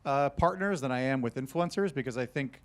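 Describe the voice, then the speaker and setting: A man answering on an industry panel, hesitant and thinking aloud with many pauses. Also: mid-range voice. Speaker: panelist, brand marketer.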